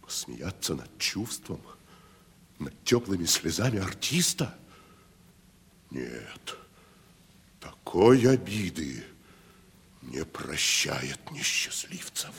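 An actor in a 1970s Russian radio play playing a proud, wounded tragedian, declaiming theatrically with gravelly voice and dramatic pauses. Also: male voice, extremely low pitch, sing-song, a little hiss or hum, heavily acted. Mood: wounded, brooding.

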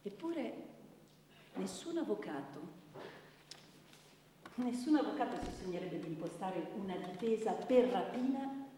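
A woman's voice: mid-range voice, sing-song, a little slow, long pauses, mellow, slight background noise. Indignant woman lawyer, echoey hall. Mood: solemn, indignant. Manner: emphatic, rhetorical, pointed repetitions. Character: impassioned, indignant woman lawyer pleading for a victim. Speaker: stage actor. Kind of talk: theatrical courtroom oration and dramatic recitation. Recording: echoey hall, distant microphone.

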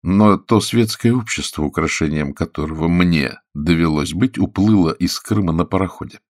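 Casting: male narrator as a dryly ironic newspaperman, calm and restrained, low husky voice, audiobook dialogue. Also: normal speed. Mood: cool, polite.

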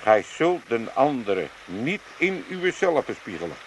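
A man's voice: mid-range voice, sing-song, unhurried, a little hiss or hum, poor audio. An older actor playing a grumbling, sarcastic, scheming old father in a comic radio play. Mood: blustering, evasive. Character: grumpy, scheming old Amsterdam father from a retirement home.

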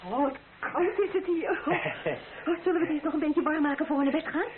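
An actress playing a caring pregnant wife and nurse in a naturalistic radio play, gently teasing and fussing affectionately. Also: female voice, sits high, a little fast, a loud crowd, lightly acted. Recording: tinny, noisy old radio play recording.

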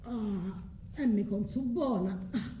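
A woman's voice: medium pitch, takes it slowly, warm tone, natural voice. An actress with a southern Italian accent playing a bitter, vengeful abandoned foreign woman in a theatrical stage monologue. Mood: bitter, scornful. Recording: echoey room, faint background noise.

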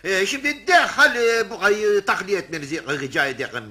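Husky, bossy crocodile showman. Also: high-pitched, big pitch swings, sharp tone, heavily acted. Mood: flustered, insistent. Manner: halting broken speech, officious. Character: gruff German crocodile showman, bossy and possessive. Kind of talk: radio comedy theatre acting.

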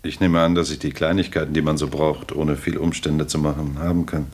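Young gentleman lodger, twenties, radio drama. Extremely low voice, reserved, courteous, noncommittal. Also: flat delivery, a little fast, breathy edge. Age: 20s. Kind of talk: German radio play acting.

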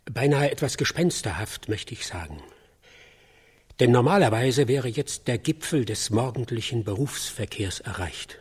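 An actor playing a solemn American field reporter in a radio drama, husky and halting as he describes the scene. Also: mid-range voice, expressive. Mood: hushed, solemn. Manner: halting, solemn, pausing between phrases. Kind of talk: acted on-location news report in a radio drama.

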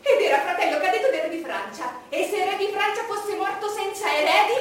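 An actress playing an imperious, vain, solitary English queen in a declamatory stage monologue with dramatic pauses. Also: very high voice, animated, distant mic. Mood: proud, reflective. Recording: noisy, echoey stage recording from afar.

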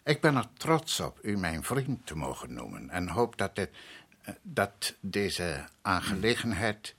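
An actor playing a proud island head priest in a radio drama, courteous and measured yet stubborn, with a husky voice. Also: male voice, sits low, very animated, fairly bright tone, exaggerated voice. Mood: placating. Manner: measured, courteous, evasive, insistent.

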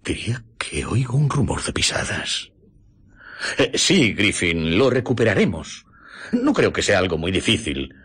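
A nervous, reluctant confidant in a radio play. The voice is very deep and heavily husky. It moves up and down a lot, hesitant and guarded. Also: male voice, very animated, measured pace, a bit bright, exaggerated voice. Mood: nervous, evasive. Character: cautious, nervous gentleman, uneasy reluctant confidant. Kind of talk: Spanish radio drama acting.

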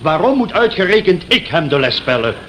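A man's voice: fairly high pitch, sing-song, fairly quick, fairly bright tone. Raised male voice, grumbling husband, blustering, evasive, faintly noisy old radio drama. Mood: defensive. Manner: blustering, repetitive. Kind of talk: radio play acting.

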